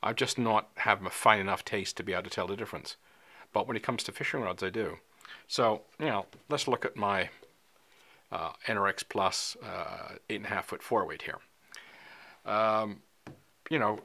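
A man's voice: on the low side, normal speed, bright, forceful tone. A man in his sixties talking casually to the camera in a small studio, conversational with lots of fillers and hand gestures. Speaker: fly fishing vlogger. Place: small indoor studio, dark backdrop.

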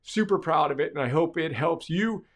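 A man's voice: on the high side, moderate pace. A middle-aged man hosting his own show, reading a short excerpt from his book and then promoting it with upbeat energy. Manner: upbeat, energetic, gesturing while talking. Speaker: author and host. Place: dark studio with portrait wall.

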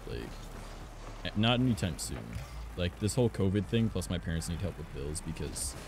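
A young man from Canada with a raspy voice, chatting casually and rambling as a streamer, filling his talk with "like" and "um". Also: low voice, very animated, normal speed, over music. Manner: rambling, frequent "like" and "um". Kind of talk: casual chat while gaming. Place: home recording studio.

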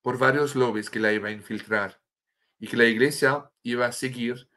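A middle-aged man from France talks in Spanish with a clear French accent, his bright, forceful tone carrying over a webcam call from a room at home. He tells his story earnestly and often stops to restart a word he is hunting for. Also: medium pitch, steady tone, normal speed. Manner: earnest, restarts words while searching for Spanish terms. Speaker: author and guest. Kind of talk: impassioned storytelling on a video call. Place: home room with a bookshelf and statue. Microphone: webcam on a video call.

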